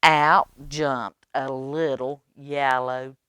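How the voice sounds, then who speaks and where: A woman reading a children's story aloud expressively, with dramatic pauses and coaxing questions to young listeners. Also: rumbling low, steady tone, fairly bright tone, smooth, no echo, clean studio sound. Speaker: library storytime reader.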